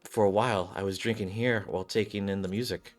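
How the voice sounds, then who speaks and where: A man reads as a carefree game swordswoman with flowery, old-fashioned speech. The delivery is measured and courtly, in a fairly low register, with music behind it. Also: fairly even intonation, close to an everyday voice.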